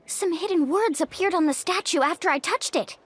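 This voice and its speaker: A woman voicing an upbeat, confident young adventure guide in an anime-style video game, lively and take-charge. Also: extremely high pitch, fairly quick, heavy background noise.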